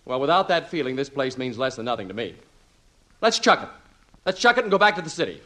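An actor playing an excitable, sentimental husband and father in a 1950s radio comedy, stammering and emphatic. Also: medium pitch, quick pace. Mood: disgruntled. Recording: vintage 1950s radio recording.

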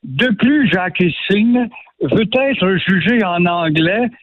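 A man's voice: high-pitched, lively intonation, takes it slowly, no room sound. A man with a Quebec French accent giving sarcastic, indignant commentary on a radio show. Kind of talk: opinionated radio chat. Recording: phone-line radio audio.

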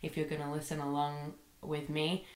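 A woman's voice: deep voice, monotone, normal speed, a little mellow. A young woman hosting her own podcast, rambling casually with many fillers while sick with a bad cold. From Australia. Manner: chatty, rambling, lots of fillers like "like" and "um". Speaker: podcast host. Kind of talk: casual solo podcast monologue. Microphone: computer microphone. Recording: echoey room.

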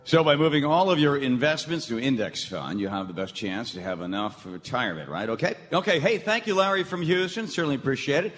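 An actor playing a radio money-show host turned romantic hero in a comedic radio play, with theatrical delivery. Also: male voice, medium pitch, lively intonation, fast talker, few pauses, a bit bright, close to an everyday voice. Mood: slick, upbeat. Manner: theatrical, declaiming. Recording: live theatre hall, long reverb.

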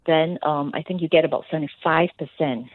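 A woman doctor with a Singaporean English accent explains things in a radio interview, pausing often with ums. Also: low voice, no echo. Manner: explanatory, with many ums and pauses. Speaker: fertility doctor guest. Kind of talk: radio interview on a phone line. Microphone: phone. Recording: tinny phone line, dry.

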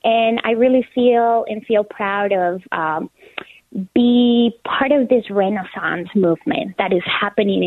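A woman from Colombia, a small business owner talking eagerly in quick bursts as a guest in a phone interview. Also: medium pitch, no room sound. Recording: tinny phone line, dry.

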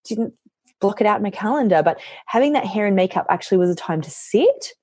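Hoarse, animated woman telling stories. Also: medium pitch, fast, dry recording. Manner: animated storytelling, quotes herself. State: hoarse after losing her voice. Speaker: author. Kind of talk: casual podcast chat.

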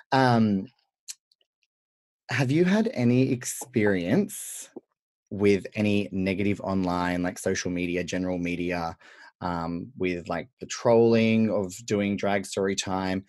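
A man with an Australian accent hosts a casual podcast, chatting in an upbeat way and leaning on fillers. His voice sits very low. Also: darkish tone. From central west New South Wales, Australia. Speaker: podcast host, drag performer.

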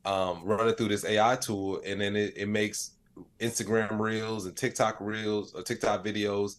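Low-voiced man chatting casually. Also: flat delivery, normal speed. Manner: rambling, slangy, frequent "you know what I'm saying". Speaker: podcast guest, AI expert and comedian. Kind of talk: casual conversational interview.

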